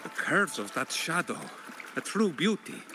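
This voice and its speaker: An actor playing an eccentric, dazed old fisherman in a video game, with theatrical, halting delivery full of exclamations. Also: fairly high pitch, very animated. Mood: wistful, mysterious. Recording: loud music behind the voice.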